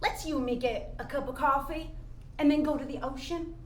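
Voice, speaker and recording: A woman's voice: high-pitched, fairly even intonation, fairly quick, close to an everyday voice. A woman playing a jaded, outspoken daughter with a blunt, scoffing, emphatic manner in a staged play reading. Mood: brisk, friendly. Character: jaded, outspoken woman chasing money owed to her grieving father. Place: indoor room at a staged reading. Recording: echoey room, noticeable background noise.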